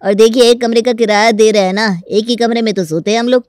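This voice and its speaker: A man's voice: high-pitched, fairly even intonation, quick pace, clear, resonant tone, dry recording, lightly acted. A crafty young village man played by an actor in a comic cartoon story. He is folksy and mock-polite, yet stubborn and always ready to argue. The sound is dry and close. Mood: folksy, mock-innocent. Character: stubborn, crafty young rural male tenant with cattle. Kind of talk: Hindi comic story dramatization.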